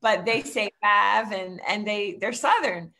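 A woman's voice: medium pitch, measured pace, sharp tone. Woman, 50s, Philadelphia accent, rambling storyteller, home video-call guest. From Philadelphia area. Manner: chatty storyteller with frequent ums. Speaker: guest, real estate coach. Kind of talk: casual video-call interview. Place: home room, indoors.

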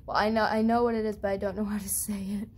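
A young woman giving a casual, chatty show-and-tell tour of her toy barn setup with a handheld phone camera, pausing on fillers. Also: medium pitch, flat delivery, a little mellow. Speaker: channel host.

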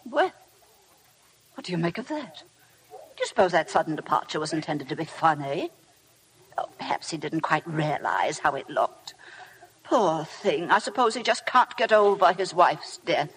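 A woman's voice: deep voice, expressive, normal speed, a little hiss or hum, poor audio. An actress playing a pushy, gossipy, condescending older society woman in a 1950s radio drama. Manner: gushing, condescending. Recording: old radio broadcast with music.

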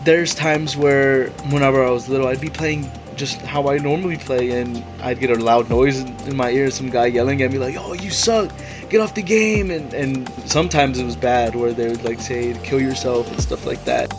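A man's voice: medium pitch, moderate pace. Casual young man. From Texas. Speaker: interviewee gamer. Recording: music bed underneath.

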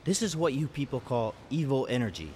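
An actor playing a stoic, righteous giant alien robot in a game cutscene, speaking in a solemn, deliberate, formal manner. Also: male voice, medium pitch, sing-song, dark tone, a little hiss or hum, lightly acted. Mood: solemn, resolute. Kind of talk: animated game cutscene dubbing.

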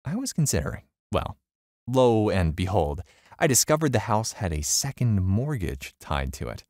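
Deep-voiced man narrating a story. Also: normal speed, dry recording, studio quality. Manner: animated storytelling with wide pitch swings. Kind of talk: reading a first-person online story aloud.

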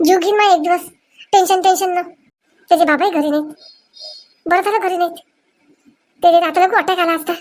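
High-pitched quarrelsome Marathi village woman played by an actress. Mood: agitated, urgent. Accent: Marathi, Vidarbha dialect. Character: excitable, quarrelsome village women in a family comedy. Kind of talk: cartoon comedy dialogue.

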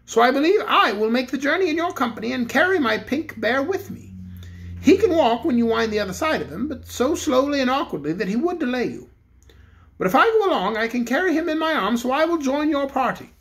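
A woman voicing a stately male toy bear king who speaks in a measured, reflective way. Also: high voice, expressive, pitched above the performer's own voice, poor audio. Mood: calm regal. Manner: measured and reflective, slightly grand.

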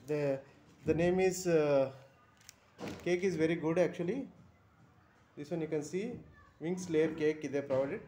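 A man with an Indian English accent talks through a casual review on a phone camera, pausing often with fillers and restarts. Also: a little high, a little mellow, slight background noise. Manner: halting, many fillers and restarts. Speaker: vlogger reviewing a bus trip. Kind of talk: casual product review vlog. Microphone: phone camera held over items.

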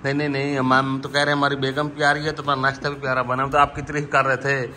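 A man's voice: medium pitch, monotone, very fast, pushed and bright. A man, chatting casually and playfully as he films a family vlog at home. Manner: playful, bantering with his wife. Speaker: family vlogger, host. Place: indoors at home, kitchen and rooms. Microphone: phone at arm's length. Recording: noisy handheld home recording.

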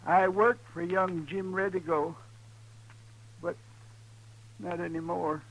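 An actor playing a frightened, simple-minded old ranch hand in a western radio drama, halting and timid. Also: male voice, high voice, fairly even intonation, a bit bright, full character voice. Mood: dazed, fearful. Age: older. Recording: noisy 1950s radio recording with hum.

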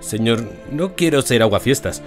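A man's voice: mid-range voice, expressive, normal speed, soft background music, close to an everyday voice. An actor playing a deferential, plain-spoken male dig worker in an audio drama, polite and hesitant. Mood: deferential, puzzled. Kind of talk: Spanish-language audio drama acting.

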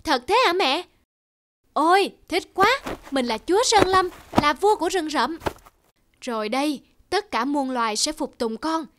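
A woman voicing an eager, boastful young male lion cub in an animated fable, childlike and exclamatory. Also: sits high, measured pace, noisy background. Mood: excited boastful.

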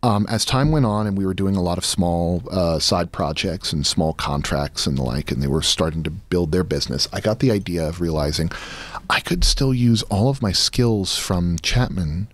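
A man's voice: deep voice, moderate pace. A man in his thirties, a guest chatting casually about his work into a close studio microphone. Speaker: guest, audio drama creator. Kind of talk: casual interview storytelling. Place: small indoor studio. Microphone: close studio microphone on boom arm, headphones.

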